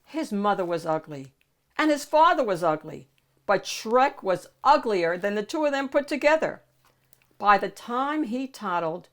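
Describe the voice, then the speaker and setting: A woman's voice: a little high, fairly bright tone. A woman hosting a children's read-aloud, narrating a picture book expressively.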